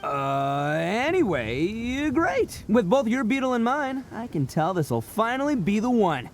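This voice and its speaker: Brash boastful oni brawler. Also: male voice, high voice, measured pace, a little hiss or hum, full character voice. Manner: boisterous, laughing, animated. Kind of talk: video game voice acting. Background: game music.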